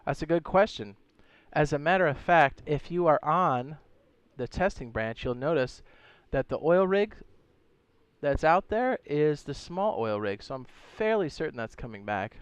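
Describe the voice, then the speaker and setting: A man streaming a game, chatting casually and reading viewer comments, hoarse with a sore throat and losing his voice, often clearing his throat. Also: on the high side, lively intonation, unhurried, no room sound. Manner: laid-back, slangy, frequent throat clearing.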